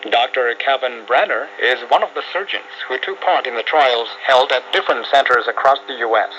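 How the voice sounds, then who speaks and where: A man's voice: medium pitch, a little fast. A man reading scripted news narration as a radio reporter. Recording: muffled shortwave radio, steady hiss. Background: radio static and hum.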